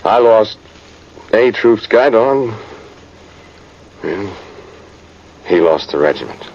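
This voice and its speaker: A man's voice: fairly low pitch, animated, takes it slowly. An American man playing a folksy, plain-spoken old cavalry sergeant telling his tale in a vintage radio drama. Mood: somber. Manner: rustic, rambling, plain-spoken old soldier. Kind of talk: 1950s radio drama narration. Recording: muffled noisy vintage radio recording.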